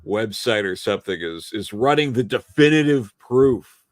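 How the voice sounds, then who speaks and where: A man chatting casually as a guest, starting and restarting his sentences in a halting way. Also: fairly low pitch, expressive, no echo, clean studio sound. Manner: halting, repeats words as he starts. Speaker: guest on a fan live stream. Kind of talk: casual chat.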